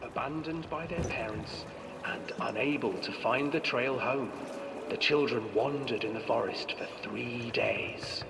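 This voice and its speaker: An actor playing a theatrical storyteller, narrating a dark fairy tale for a video game with deliberate drama. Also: male voice, medium pitch, very noisy, natural voice. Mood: theatrical, ominous. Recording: echoing hall, distant, music behind.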